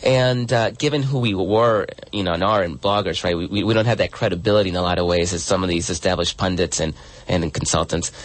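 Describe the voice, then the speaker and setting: A man's voice: deep voice, steady tone, a little fast, no echo, poor audio. A man who grew up in El Salvador, answering interview questions earnestly as a guest author, often restarting and repeating words.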